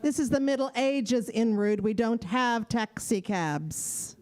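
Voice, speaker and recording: A sweet, eager young Cinderella, acted by a woman in a children's audio play. Her voice is very high and slow-paced, with an earnest, exclamatory, wide-eyed delivery. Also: a little mellow, faint music behind. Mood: pleading. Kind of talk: children's audio theater acting.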